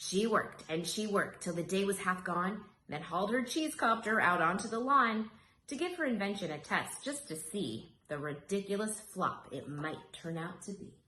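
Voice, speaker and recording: A woman with a medium-pitched voice reads a children's picture book in rhyme. Her delivery is expressive and dramatic. The room adds clear echo, with faint noise underneath. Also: normal speed. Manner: dramatic, animated storytelling. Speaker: storybook reader. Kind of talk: expressive read-aloud of rhyming children's story.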